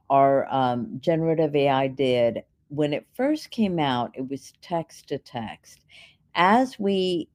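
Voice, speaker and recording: Low-voiced woman explaining things step by step. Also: mellow. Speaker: keynote speaker answering audience questions. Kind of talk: explanatory conference Q&A.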